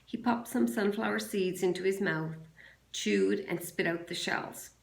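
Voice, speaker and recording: Woman, teacher, classroom story reading, unhurried, gravelly creak, echoing room. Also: mid-range voice. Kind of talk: classroom read-aloud of a children's novel. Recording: roomy, echoing sound.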